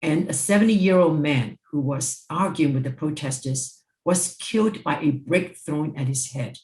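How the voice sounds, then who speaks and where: A woman's voice: low-pitched, a little mellow. Formal woman speaker in an echoey room. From Hong Kong. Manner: formal, deliberate, emphatic. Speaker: panel speaker, former judge. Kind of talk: scripted speech. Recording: roomy, echoing sound.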